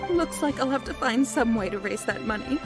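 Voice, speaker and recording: Woman as anxious young townswoman, video game, high polite voice, deferential, faint music behind. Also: animated, brisk, lightly acted. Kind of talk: video game character acting.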